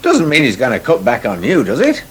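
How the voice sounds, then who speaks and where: An actor playing a jovial, chatty English village publican in a radio soap. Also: male voice, mid-range voice, wildly swooping pitch, a little fast, fairly bright tone, slightly rough, full character voice. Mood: reassuring. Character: jovial, matey village pub landlord. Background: music.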